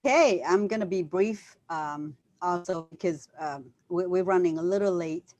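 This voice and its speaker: A woman's voice: on the low side, normal speed, a little mellow. A woman in her fifties with a Vietnamese accent, hosting a casual video call chat and laughing often. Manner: laughs often, cheerful and chatty. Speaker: show host. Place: home office.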